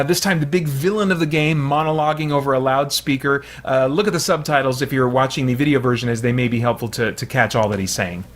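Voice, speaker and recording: A man's voice: fairly high pitch, fairly bright tone. A man hosting a video essay, explaining in an informal, analytical way with frequent hedging.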